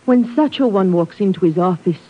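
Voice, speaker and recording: Woman playing a husky, flirtatious radio secretary. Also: mid-range voice, expressive, brisk, close to an everyday voice. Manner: coy, theatrically languid. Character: flirtatious, worldly, sly female secretary. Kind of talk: 1950s radio drama acting. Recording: muffled old radio recording.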